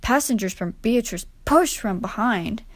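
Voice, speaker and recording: A woman's voice: on the high side, lively intonation, a little slow, dry recording. Woman narrating a storybook, dry recording. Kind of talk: scripted children's story narration.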